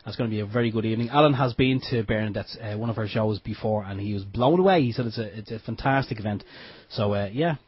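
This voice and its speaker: An Irish man co-hosting an internet radio show in a relaxed, joking way. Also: lowish voice, fast talker, slightly rough, no room sound. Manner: easygoing, joking, chuckling. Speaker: radio co-host. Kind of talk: casual radio chat. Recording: dull lo-fi home radio stream.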